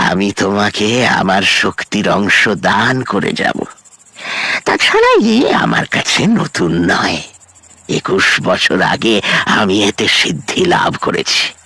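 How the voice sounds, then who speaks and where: A man playing an older, solemn tantric guru in a dramatised horror audio story, speaking gravely and emphatically. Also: on the low side, sharp tone, no room sound, full character voice. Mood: assuring, solemn. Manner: grave, emphatic, theatrical delivery. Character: solemn, commanding male tantric guru warning his disciple.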